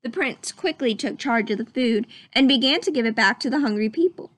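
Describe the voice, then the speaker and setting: A woman reading a children's story aloud as narrator, with expressive, dramatic storytelling. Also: on the high side, a little fast, dry recording. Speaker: storybook reader.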